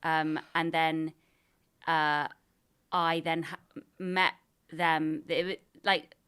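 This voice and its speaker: A woman, bluntly chatting on a podcast and telling a story in halting pieces. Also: low voice, level tone, fairly bright tone, smooth. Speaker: podcast co-host. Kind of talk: casual chat.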